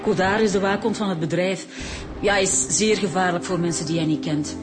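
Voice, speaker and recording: A woman's voice: fairly low pitch, fairly quick, over music, natural voice. A woman with a Flemish accent playing a polite, obliging garage employee in a naturalistic radio crime drama. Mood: chatty, informative. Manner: polite, expressive.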